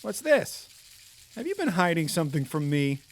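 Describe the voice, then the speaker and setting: An actor playing an awkward, guarded loner in an audio drama, polite but on the defensive. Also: male voice, a little high, sing-song, normal speed. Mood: tender, concerned. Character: guarded, awkward loner man who talks to his gourd.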